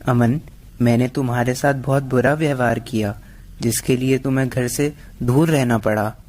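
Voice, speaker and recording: A man's voice: mid-range voice, fairly even intonation, normal speed, darkish tone, soft background music, close to an everyday voice. A man playing a stern stepfather in a cartoon story. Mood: remorseful. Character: stern stepfather, harsh then remorseful. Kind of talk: acted cartoon story dialogue.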